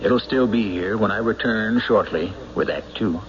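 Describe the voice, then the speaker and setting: Low-pitched, theatrical mystery radio host, played by a man. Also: very noisy, close to an everyday voice. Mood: wry, intriguing. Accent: American. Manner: measured, theatrical, formal. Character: composed, wry male host of mystery tales. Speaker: host and narrator. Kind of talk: scripted radio mystery narration. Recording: muffled old radio broadcast with music.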